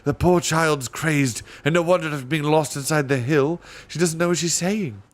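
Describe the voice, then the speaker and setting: A man reading aloud, voicing a great panther who talks slowly and soothingly. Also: a little high, voice pushed up, lightly acted. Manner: hushing, slow and soothing. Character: great panther, calm and condescending.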